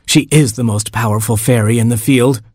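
A man's voice: medium pitch, steady tone, brisk, slightly rough, dry recording, studio quality, lightly acted. A man voicing a fretful, gracious queen frog mother. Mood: worried. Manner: murmuring, then falsely bright.

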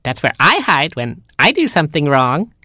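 A storyteller voicing a tiny, excitable boy chick who squeals and whines dramatically. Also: moderate pace, slightly rough, no room sound, clean studio sound, heavily acted. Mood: squealing. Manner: shrill, squealing and breathless.

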